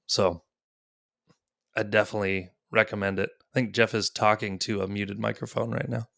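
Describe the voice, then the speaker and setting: A man's voice: lowish voice, level tone, normal speed, vocal fry, fairly bright tone, dry recording, clean studio sound. A man in his thirties hosting a casual gaming podcast, rambling with lots of fillers and easy laughs. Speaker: podcast host.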